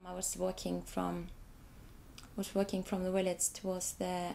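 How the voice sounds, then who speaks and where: An Icelandic woman, a young adult, speaks English as her second language. She talks haltingly and repeats words as she restarts her sentences. Her voice is dark, a little gravelly, and fairly flat, with a faint hiss in the background. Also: lowish voice. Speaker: artist. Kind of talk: interview, describing her photographs.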